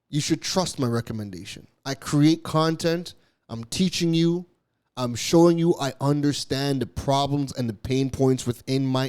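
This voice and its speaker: A man's voice: a little high, a little mellow. Emphatic male business podcaster. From Canada. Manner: emphatic, repeats key phrases, occasional stumbles. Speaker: podcast host. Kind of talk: solo business podcast monologue.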